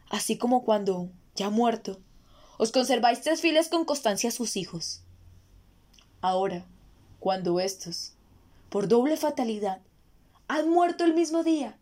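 A woman reads the part of a proud ruler of ancient Thebes in a Greek tragedy. The voice sits a little high, and the delivery is formal, grave and oratorical, like a stern king addressing his elders. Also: a little mellow, natural voice. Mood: solemn, formal, then grave, measured. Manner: solemn, declamatory, formal oratory. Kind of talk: dramatic reading of classical Greek tragedy.

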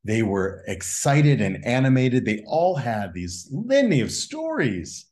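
A man's voice: mid-range voice, sing-song, unhurried, darkish tone. A man speaking as a guest in a reflective spiritual conversation, musing and asking a string of rhetorical questions. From United States.

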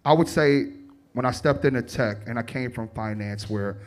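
A man's voice: mid-range voice, moderate pace. A young man with a Chicago accent speaking on a panel, telling stories animatedly, acting out quotes and laughing often. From Chicago, United States. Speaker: tech panelist. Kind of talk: panel discussion.

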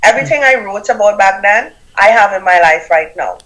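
A woman's voice: medium pitch, lively intonation, brisk, a bit bright, low-quality recording. A woman with a Trinidadian accent, a guest speaker and business coach giving emphatic motivational advice and repeating punchy phrases. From Trinidad and Tobago. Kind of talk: motivational interview talk.

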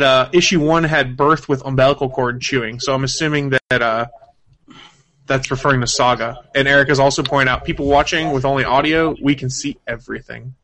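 A man's voice: medium pitch, sharp tone, a little hiss or hum, rough recording. A man hosting a casual podcast chat, explaining things at length, teasing his co-host, and reading out chat comments.

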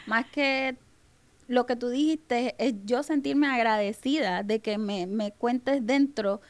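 A woman's voice: fairly high pitch, slow pace. A woman with a Venezuelan accent speaking as an interview guest, telling personal stories conversationally and acting out remembered dialogue. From Maracaibo, Venezuela. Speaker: podcast guest, business owner.

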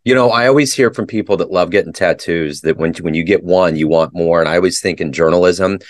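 A man hosting a podcast interview, asking friendly questions and chiming in with quick affirmations. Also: low voice, no echo. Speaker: interview host.

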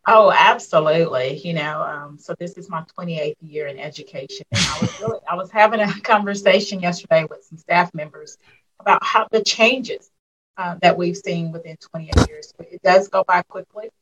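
A woman's voice: fairly low pitch, moderate pace, dull audio, a crowd in the background. A woman in her fifties from Arkansas, a school superintendent reflecting on her life in a casual interview, with lots of fillers. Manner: warm, reflective storyteller with frequent fillers. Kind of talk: casual interview over a call.

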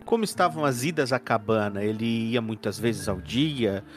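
A man voicing a polite, probing male detective in a tabletop game, formal and deliberate. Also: fairly low pitch, animated, soft background music, natural voice.

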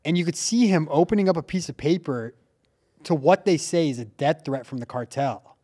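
Animated man hosting a podcast. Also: a little high, moderate pace. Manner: animated storyteller, heavy use of "like" and "dude". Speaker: podcast host. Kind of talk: casual podcast chat.

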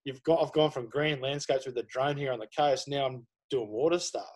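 A man's voice: mid-range voice, fairly quick, a crowd in the background. A man, chatting casually as a podcast guest, rambling with lots of fillers. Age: 30s. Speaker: guest photographer.

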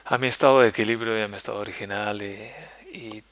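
Soft-spoken Spanish man, gravelly voice. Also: lowish voice, steady tone, no echo. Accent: Spain Spanish. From Spain. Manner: soft-spoken, introspective, halting. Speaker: podcast host. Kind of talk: personal reflective monologue. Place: living in Germany.

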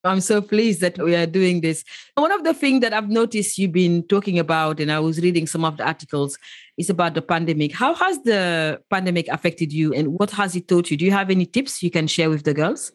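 A woman's voice: on the low side, expressive, fairly quick. A woman hosting a podcast interview, effusive and enthusiastic as she asks questions. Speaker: podcast host.

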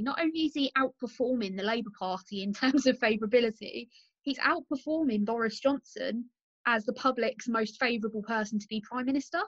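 A British woman hosts a political podcast in a fairly high voice. The recording is roomy and a little muffled, with an informal discussion style. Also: a bit bright. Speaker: podcast host.

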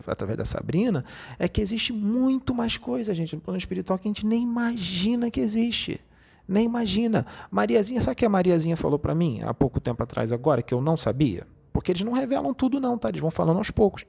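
A man's voice: high voice, vocal fry, a little mellow, breathy edge. A man, a spiritist medium, explaining spiritual matters in a casual talk and often checking "entendeu?".

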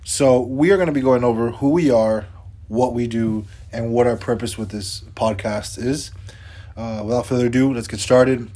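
A man's voice: mid-range voice, normal speed, dark tone. A man in his twenties co-hosting a podcast, chatting in an upbeat, loose way with lots of fillers. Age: 20s. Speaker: podcast co-host. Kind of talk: casual podcast chat.

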